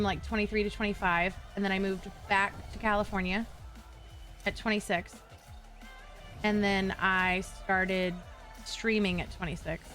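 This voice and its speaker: A woman's voice: mid-range voice, fairly bright tone. A young adult woman from Kentucky, chatting casually on a live stream and answering her chat.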